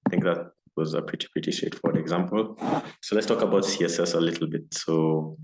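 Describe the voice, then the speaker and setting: Low, flat-voiced man teaching code. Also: brisk, gravelly. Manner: relaxed and casual, many fillers. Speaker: coding instructor. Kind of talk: live online coding lesson. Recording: roomy echo, faint noise.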